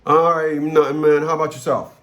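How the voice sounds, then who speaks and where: A man's voice: fairly high pitch, level tone, a little fast, highs cut off, noisy background. A man hosting a casual advice consultation, talking bluntly and colloquially and often repeating his words.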